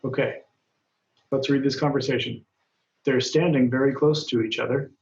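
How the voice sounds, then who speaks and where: A man teaching an English grammar lesson, speaking slowly and deliberately, and raising his voice when he reads example dialogues aloud. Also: medium pitch, steady tone, creaky. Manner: patient, deliberate, lifts his voice when reading quoted lines. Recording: dull, roomy sound from a distance.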